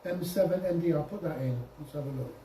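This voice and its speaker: A man giving an informal software demonstration to a group, explaining step by step with some hesitation and self-correction. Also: a little high, fast talker. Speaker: presenter at an amateur radio club. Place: indoor club meeting room. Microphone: distant room microphone. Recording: echoey room, distant mic, faint noise.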